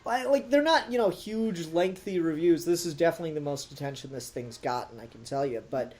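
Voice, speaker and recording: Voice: mid-range voice, expressive, fairly quick, darkish tone. A podcast co-host giving a casual, blunt and emphatic critique in conversation. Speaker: podcast co-host reviewing an audio drama.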